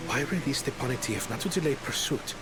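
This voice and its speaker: An actor playing a young catfolk prince, a protective brother who is earnest and hot-tempered, speaking in a formal, measured, dramatic way for a video game. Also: male voice, mid-range voice, animated, over music, close to an everyday voice. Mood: resolute.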